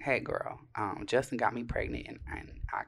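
A man's voice: mid-range voice, steady tone, normal speed, creaky, a bit bright, slight background noise. A man co-hosting a casual livestream with banter and prank calls, talking in a slangy, sassy way. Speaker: co-host making prank calls.

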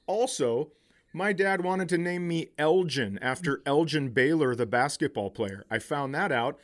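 A middle-aged man with a slightly high voice tells stories as a podcast comedian. He is irreverent and swears freely, keeping the banter casual and joking. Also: expressive, measured pace.

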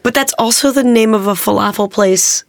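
A woman's voice: medium pitch, normal speed, creaky, no echo. Candid, laughing woman guest on a dry mic. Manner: candid, swears freely, laughs often. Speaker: podcast guest. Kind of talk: casual chat.

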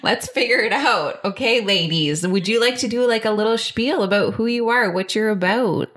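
A woman's voice: medium pitch, moderate pace, a bit bright. A woman co-hosting a podcast, chatty and giggly, rambling as she talks. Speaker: podcast co-host. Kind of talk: casual chat.

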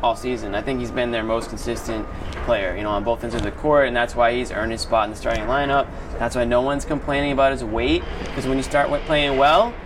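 A man's voice: medium pitch, steady tone, brisk, background noise. A man co-hosting a sports show, giving casual, emphatic post-game basketball commentary and often repeating himself for stress. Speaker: sports podcast co-host.

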